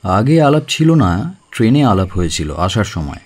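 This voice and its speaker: A man's voice: lowish voice, big pitch swings, moderate pace, a little mellow, slightly rough. A man playing an earnest, obliging young neighbour in an audio drama, with a polite, hesitant, conversational manner.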